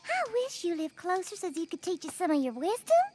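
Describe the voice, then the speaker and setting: A woman's voice: very high-pitched, big pitch swings, brisk. A woman playing an impressionable, cheerful young jungle woman in a cartoon. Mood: admiring. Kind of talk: animated cartoon voice acting. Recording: music behind the voice.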